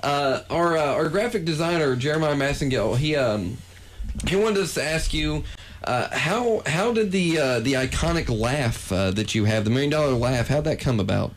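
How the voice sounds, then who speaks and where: A man's voice: fairly high pitch, expressive, a little hiss or hum. Guy cohosting a radio show, bouncy voice, stumbles thru his question. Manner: hesitant, halting question with fillers. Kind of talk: interviewing a guest.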